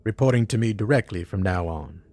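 An actor playing a composed, guarded senior intelligence boss in an audio drama, speaking in a measured, formal, authoritative way. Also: male voice, lowish voice, lively intonation, a little mellow, dry recording.

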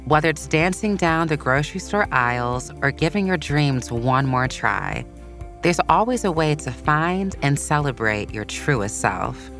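A narrator reading a scripted children's biography in an expressive, measured storytelling way. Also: medium pitch. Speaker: podcast narrator. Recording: soft music bed behind voice. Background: background music.

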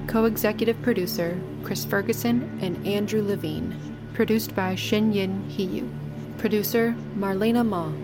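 A woman announcer reading scripted credits in an even, businesslike manner. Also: mid-range voice. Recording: music behind voice-over.

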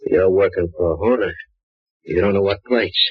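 A raspy, menacing gunman thug. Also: deep voice, moderate pace, dry recording. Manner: tough, threatening street talk. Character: menacing small-time male gunman thug. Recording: muffled old radio broadcast.

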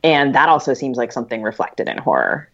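A female podcast co-host with a notably low voice talks through literary ideas. She is thoughtful and halting, searching for the right phrase, on slightly muffled lo-fi audio. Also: sing-song.

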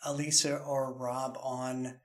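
A man's voice: medium pitch, level tone, takes it slowly. A man hosting a casual roundtable chat as a show creator, talking in a rambling, jokey way.

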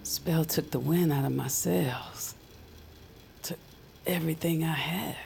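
A slow, creaky, exhausted witch. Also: low-pitched, darkish tone, a little hiss or hum, exaggerated voice. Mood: drained, wry. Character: weary, secretive witch, the hero's loyal friend. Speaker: supporting actor. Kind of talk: audio fiction acting. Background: music.

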